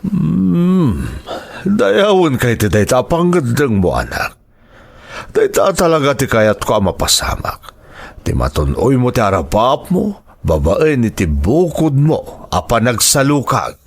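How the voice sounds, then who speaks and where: An actor playing a proud, supportive middle-aged Ilocano father in a radio drama, with a gravelly, husky voice. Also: medium pitch, very animated, a little slow, exaggerated voice. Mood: proud, approving.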